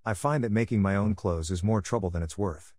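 A man's voice: lowish voice, brisk, a little mellow, no echo, studio quality. A man reading scripted example sentences aloud as prompts for a language test.

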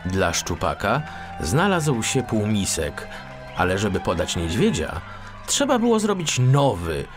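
A man narrating a children's fairy tale as an expressive audiobook storyteller. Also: lowish voice.

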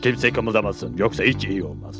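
Cartoon villain, male actor: gang boss, gruff, fairly deep, menacing, irritable. Music underneath. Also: expressive, normal speed, lightly acted. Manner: gruff, barking, threatening. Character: bossy, bad-tempered male outlaw gang leader. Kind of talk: cartoon dub acting. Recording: music behind the voice.

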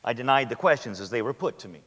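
A man's voice: medium pitch, expressive, fast, fairly bright tone, husky. A man playing a guarded, defensive official giving terse testimony in a staged courtroom reading, in a theater. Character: guarded male official denying accusations on the stand. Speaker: actor in a staged dramatic reading. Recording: echoey theater hall.

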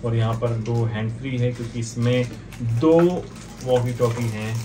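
A man in his thirties with an Indian accent, giving a casual unboxing and review in a halting, repetitive explaining manner. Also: mid-range voice, little pitch movement. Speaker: video host reviewing products. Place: small indoor office. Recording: distant mic, clearly noisy room ambience.

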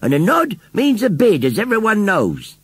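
A man voicing a brisk, insistent village postman who calls out loudly in a storybook. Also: sits high, huge pitch swings, slightly rough, no room sound, pitched above the performer's own voice, heavily acted. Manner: shouting, insistent.

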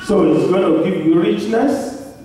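A man's voice: sits high, mellow, a little hiss or hum. A man in his thirties with a Nigerian accent, preaching a sermon at a pulpit into a handheld mic in a church hall. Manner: slow, emphatic preaching with long pauses. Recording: echoey church hall recorded from far off.

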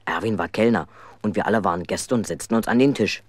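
A man's voice: on the low side, fast talker, a little hiss or hum, natural voice. A man narrating a children's radio play as a mischievous, aggrieved schoolboy telling his own story. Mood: recounting.

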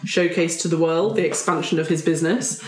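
A woman's voice: on the low side, steady tone, a little fast, a little mellow. A woman improvising casually as a player in a roleplay game. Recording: roomy echo with some background noise.

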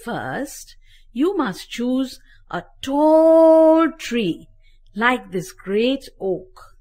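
A woman's voice: sits high, big pitch swings, extremely slow, a little mellow, pitched above the performer's own voice, heavily acted. A woman voicing a patient, wise female magpie teaching other birds, in a cheerful, instructive way.

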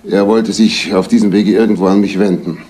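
An actor playing a composed husband who reports a threat in a retro German radio crime play, reserved and matter-of-fact. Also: male voice, low voice, steady tone, fairly quick, dark tone, husky. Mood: reporting, controlled. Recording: noisy vintage radio play recording.

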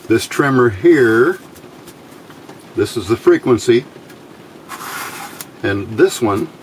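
A middle-aged man giving an informal hands-on tutorial in halting short phrases, pausing often as he explains. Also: lowish voice, poor audio. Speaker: electronics hobbyist demonstrating a homemade circuit. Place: indoors at a workbench. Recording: steady clear background noise.

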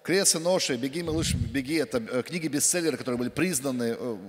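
A middle-aged man interpreting a sermon live on stage, talking rapidly with fillers and restarts on a handheld mic. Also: medium pitch. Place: large church hall stage. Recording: long hall reverb.

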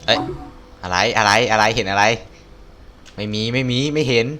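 A man's voice: on the low side, normal speed, sharp tone, a little hiss or hum. A man doing casual game playthrough commentary and reading character dialogue aloud. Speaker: game streamer.

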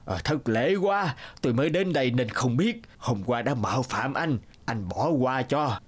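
Man playing a pompous, animated greedy rich man. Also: mid-range voice, moderate pace, close to an everyday voice. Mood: fawning.

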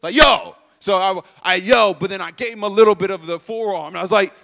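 A young man speaking on a stage with a handheld mic, telling an animated story, acting out sound effects and talking straight to the crowd. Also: sits high, fast talker, gravelly. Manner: animated, acts out sounds and voices, addresses crowd directly. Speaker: speaker at a church youth event. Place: stage in a purple-lit hall. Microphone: handheld microphone.

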